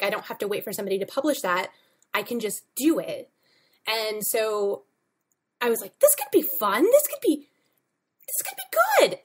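A woman's voice: fairly high pitch, slightly rough. A woman in her thirties talking casually and informally as a guest in an interview. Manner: chatty, informal, peppered with "like". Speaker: podcast guest, comic book writer and editor. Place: home room with bookshelves, video call.

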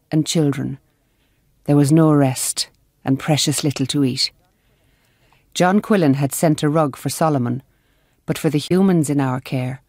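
An actress playing a grave nun who narrates a radio drama in a sombre, reflective manner. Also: female voice, deep voice, dark tone, dry recording, clean studio sound.

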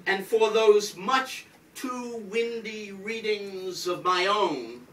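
An older man reads as a poet at a live event, with a bright, projecting voice and a wry manner. He takes his time over the words. He is heard from back in a small, echoey room. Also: sits high, slow pace. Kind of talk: live poetry reading with wry introduction. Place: small crowded indoor venue, on stage. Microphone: distant camera from the audience. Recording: roomy, distant audience recording with background noise.

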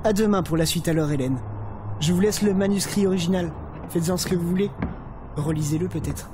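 Man acting a high-voiced, smug, menacing novelist. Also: a little fast, background noise, natural voice. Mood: self-satisfied. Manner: formal, condescending. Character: smug, menacing male novelist blackmailing his editor. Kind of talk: French audio drama acting.

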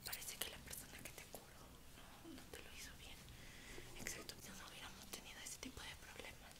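Young woman whispering softly, ASMR roleplay. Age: young adult. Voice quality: breathy whisper. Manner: soft, reassuring, unhurried. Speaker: ASMR roleplayer playing a dentist. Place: small indoor room.

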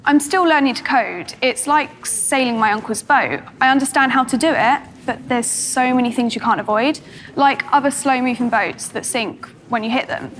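An actress playing a young English student programmer who is dry, sarcastic and a little flustered. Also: female voice, sits high, moderate pace, a bit bright, a little hiss or hum. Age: young adult.

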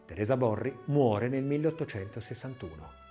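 A man reads as an audiobook narrator, in a literary and expressive manner. His medium-pitched voice moves through a wide, animated range of intonation. Music plays along with the narration. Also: moderate pace, lo-fi sound. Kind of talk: scripted dramatic audiobook narration.

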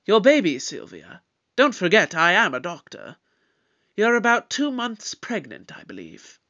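Probing eccentric investigator, played by an actor, audio drama, animated pitch, deliberate formal questioning, slightly muffled recording. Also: heavily acted. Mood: coolly insistent.

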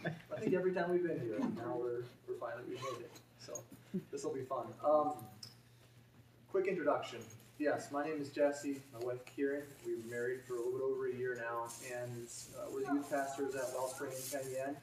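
Young pastor in an echoey sanctuary. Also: male voice, medium pitch, slight background noise. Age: young adult. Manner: relaxed, friendly, halting. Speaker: youth pastor. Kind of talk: informal talk to congregation. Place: church sanctuary. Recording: echoey church from a distance.

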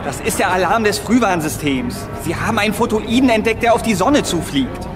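Panicky guy barking orders in a radio play, high voice, loud music all over. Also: animated, fairly quick. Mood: urgent, alarmed. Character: alarmed man urgently ordering people to evacuate. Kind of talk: radio drama acting.

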